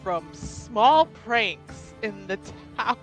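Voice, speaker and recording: A woman's voice: medium pitch, lively intonation, slow, soft background music, rough recording. A woman in casual chat as a tabletop roleplayer, reacting with amused, slangy expressiveness. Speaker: player in a tabletop roleplaying show.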